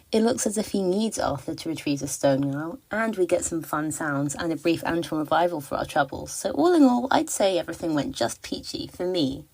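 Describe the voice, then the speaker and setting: Woman leaving a fan voice message, lowish voice, wry n a bit dramatic. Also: vocal fry, darkish tone, slightly rough, poor audio. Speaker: listener sending a voice message. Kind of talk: prepared fan commentary.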